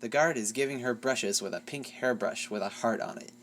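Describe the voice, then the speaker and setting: A fanfic reader narrating a comic story with playful, deadpan asides. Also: medium pitch, fairly quick, a little hiss or hum.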